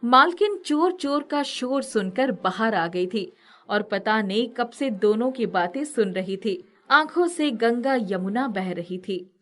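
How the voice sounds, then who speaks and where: A woman's voice: a little high, lively intonation, slow pace, a bit bright. A woman storyteller reading a scripted, dramatic family story. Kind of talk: scripted dramatic story narration with character dialogue.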